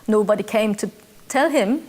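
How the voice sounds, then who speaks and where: Woman in her 40s, Korean accent, non-native English, reflective testimony, mid-pitched, unhurried, thoughtful pauses. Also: darkish tone. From South Korea. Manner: reflective, measured, with hesitant fillers. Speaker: interviewee, church member. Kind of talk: personal testimony interview.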